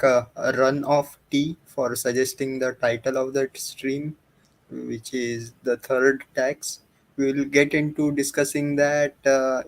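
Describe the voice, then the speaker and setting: A man co-hosting a livestream, talking casually in a hesitant, halting way. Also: mid-range voice, no room sound, low-quality recording. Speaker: livestream co-host. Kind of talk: casual chat.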